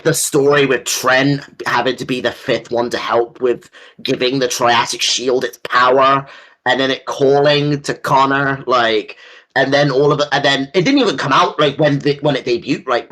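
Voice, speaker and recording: A man's voice: mid-range voice, normal speed, fairly bright tone, poor audio. A man co-hosting a casual fan discussion, talking in an enthusiastic, rambling way with some casual swearing. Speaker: co-host.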